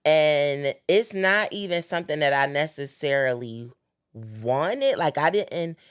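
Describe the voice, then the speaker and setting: A woman's voice: low voice, expressive, slow pace, clear, resonant tone, no room sound, studio quality. A young woman hosting a podcast, talking earnestly about faith and dreams and repeating phrases for emphasis. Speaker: podcast host. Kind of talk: casual faith-based chat.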